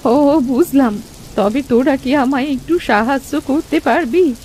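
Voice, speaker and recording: A woman's voice: high-pitched, measured pace, darkish tone, slight background noise. A woman voicing an old village grandmother in a cartoon story, asking for help. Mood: pleading, kindly.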